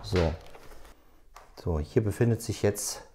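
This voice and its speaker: A man hosting a hands-on tutorial, explaining step by step in a halting, unscripted way as he works. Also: on the low side, dark tone, a little hiss or hum. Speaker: tutorial host. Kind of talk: hands-on tutorial, talking while working.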